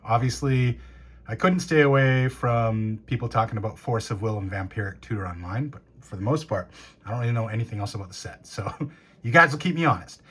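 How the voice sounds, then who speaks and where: Raspy man opening card packs. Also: mid-range voice, a little fast, fairly bright tone. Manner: enthusiastic, reads card names and rules aloud. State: hoarse after a cold. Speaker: game store owner. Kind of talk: casual box-opening commentary.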